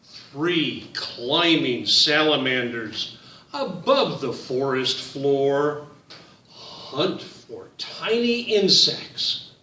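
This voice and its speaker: A man reading his picture book aloud to children, in a slow, sing-song storytime voice that speaks to them directly. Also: medium pitch, animated, bright, forceful tone, roomy sound, recorded from a distance.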